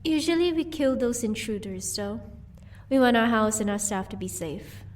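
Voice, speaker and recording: A woman's voice: on the high side, moderate pace, slight background noise, natural voice. A woman playing an amused, haughty vampire wife in an audio roleplay, teasing in a cool, matter-of-fact way. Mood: casual, musing. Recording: voice distant from the microphone.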